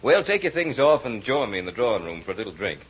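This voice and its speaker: A man playing a courtly but brooding, possessive and lonely plantation gentleman in a vintage radio drama. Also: lowish voice, big pitch swings, quick pace. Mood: effusive welcome. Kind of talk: 1950s radio acting. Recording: noisy, muffled old radio recording.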